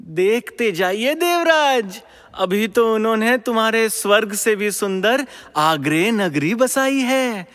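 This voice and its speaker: A wandering sage of the gods in a Hindi mythological audio drama. His voice is high, clear and smooth, drawn out into a theatrical sing-song that needles as it chants, all in a long hall reverb. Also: expressive, takes it slowly, a bit bright, exaggerated voice. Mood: needling, warning. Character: celestial wandering sage, teasing messenger of the gods.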